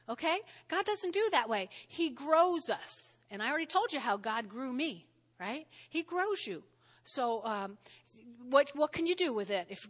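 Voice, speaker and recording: A woman pastor with a New Jersey accent, preaching a sermon in a lively, conversational way and often checking in with "all right?". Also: fairly high pitch. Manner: lively, spells words out, frequent "all right?" check-ins. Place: church sanctuary. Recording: long hall reverb.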